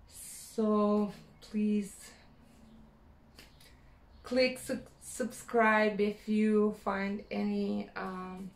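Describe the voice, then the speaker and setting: Croatian woman vlogging in english, really slow, lots of ums, roomy echo. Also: mid-range voice, gravelly, a little mellow. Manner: hesitant, many fillers, searching for words. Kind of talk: personal vlog monologue.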